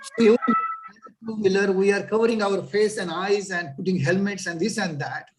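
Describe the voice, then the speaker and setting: High-voiced Indian man lecturing earnestly. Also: normal speed, far from the mic, low-quality recording. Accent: Indian English. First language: Marathi. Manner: earnest, advising, many fillers. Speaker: guest lecturer. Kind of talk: lecture on a video call.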